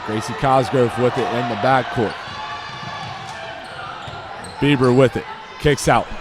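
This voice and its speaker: A young American man giving live basketball play-by-play in short, clipped calls that rise to excited shouts. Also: medium pitch, slow pace. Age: young adult. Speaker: play-by-play commentator. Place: indoor high school gym during a game. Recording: noisy gym with loud crowd. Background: crowd noise, bouncing basketball.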